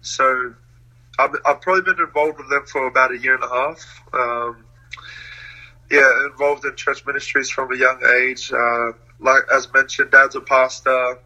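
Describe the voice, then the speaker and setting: Bright-voiced young man, laughing easily. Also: mid-range voice, steady tone, normal speed, low-quality recording. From New Zealand. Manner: laughs often, hesitant with many fillers. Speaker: interview guest. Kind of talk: casual conversational interview.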